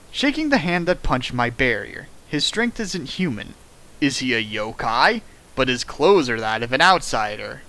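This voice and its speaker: Young male host, comedic dramatic reading, bright and lively, goes high for jokes. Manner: animated, jumps in pitch for jokes and sound effects. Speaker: host reading a fan fiction aloud.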